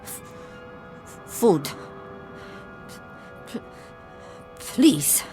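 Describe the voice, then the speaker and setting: An actress playing a guarded, insecure female soldier surviving after a war in a television drama, speaking haltingly in a reverberant recording. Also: lowish voice, sing-song, dark tone, heavily acted. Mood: suspicious. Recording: echoey reverberant voice with music behind.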